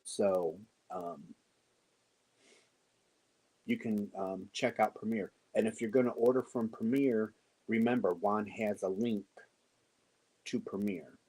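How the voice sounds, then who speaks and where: Man, low voice, slow pace, casual hesitant chat, answers viewers. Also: warm tone, husky, low-quality recording. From United States. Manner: halting, many ums, reads and answers viewer comments. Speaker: crochet show host. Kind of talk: casual live-stream chat.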